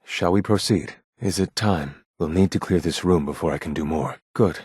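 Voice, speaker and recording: An actor voicing a guarded, earnest male android rebel leader in a video game, with formal, measured, grave speech and a husky voice. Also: low voice, darkish tone, no room sound, clean studio sound. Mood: urgent, guarded. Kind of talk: scripted game character dialogue.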